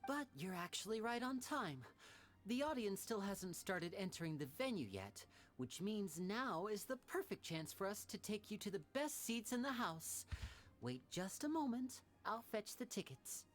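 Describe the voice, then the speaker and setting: A woman acts out a young male stage magician from a video game. The voice is mid-pitched for a woman and very lively in its melody, with a polite, obliging showman's charm. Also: normal speed, lightly acted. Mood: welcoming. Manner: courteous, smooth showman. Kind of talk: animated game character acting.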